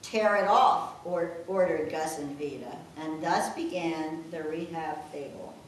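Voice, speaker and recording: Slow-spoken older woman reading verse, very echoey. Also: low voice. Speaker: daughter reading her own birthday poem. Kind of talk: reading rhymed verse aloud with chatty asides. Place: echoing room at a family gathering. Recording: very echoey room, distant mic, faint noise.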